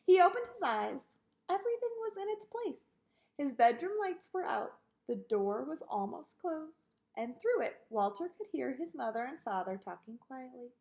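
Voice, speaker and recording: A woman reading a children's picture book aloud in an expressive way, with sing-song delivery on repeated lists. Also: high-pitched, moderate pace, gravelly. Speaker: storybook reader.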